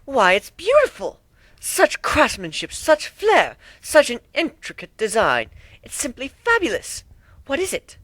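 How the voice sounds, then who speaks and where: A man's voice: very high voice, wildly swooping pitch, measured pace, sharp tone, dry recording, pitched above the performer's own voice, heavily acted. A man voicing a refined, effusive female pony with theatrical flair in a dramatic story reading. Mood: gushing. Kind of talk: dramatic fan fiction reading.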